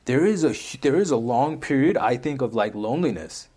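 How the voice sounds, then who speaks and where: A man of middling pitch hosts a podcast in easygoing conversation. His talk is loose and full of fillers, and he keeps checking in with his co-host as he goes. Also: expressive, a little fast, warm tone, breathy edge. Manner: conversational, leans on "like" and "right?", repeats words. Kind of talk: casual podcast chat.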